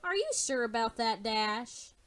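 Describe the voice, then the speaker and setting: A woman's voice: on the high side, sing-song, unhurried, fairly bright tone. Woman voicing a sarcastic, down-to-earth farm pony. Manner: dry, sarcastic.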